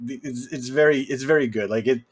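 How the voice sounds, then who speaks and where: A man's voice: mid-range voice, fairly quick, husky. Wry man in casual film chat.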